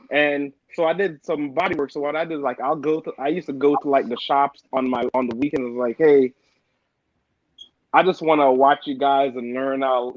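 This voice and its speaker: A middle-aged man from Jamaica, a guest on a casual podcast, telling stories in a relaxed way and often saying "man" and "like". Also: medium pitch, dry recording, dull audio. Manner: relaxed storytelling with frequent "man" and "like".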